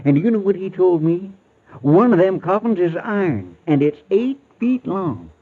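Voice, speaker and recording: High-pitched, folksy, foreboding rural local. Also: male voice, very animated, moderate pace. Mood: ominous. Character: ominous, superstitious rural roadside proprietor, wary local man. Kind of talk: 1940s radio acting. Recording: noisy, narrowband old radio recording.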